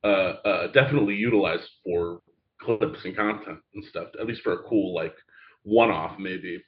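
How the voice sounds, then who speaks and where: Man, guest in a podcast chat; lower voice, long-winded, restarts his sentences a lot. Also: normal speed, lo-fi sound. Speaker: theatre creator guest. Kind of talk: casual interview chat.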